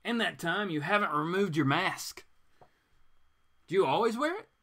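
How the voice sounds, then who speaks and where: A man's voice: sits high, expressive, moderate pace, a bit bright, pitched above the performer's own voice, exaggerated voice. A man voicing an inquisitive, brashly direct male superhero in a comic book reading. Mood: probing.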